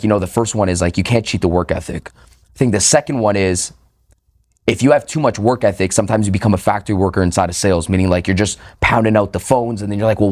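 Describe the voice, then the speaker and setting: A man's voice: lowish voice, a little mellow. A young man from Canada, a sales trainer talking casually on a podcast, punchy and profane, tagging "right?" onto his points. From Toronto, Canada. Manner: fast, punchy, swears casually, frequent "right?".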